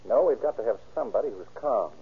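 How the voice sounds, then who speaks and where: A man playing a calm, authoritative police chief in 1930s radio drama, brisk and protective. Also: mid-range voice, husky. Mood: commanding, brisk. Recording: tinny muffled 1930s radio recording.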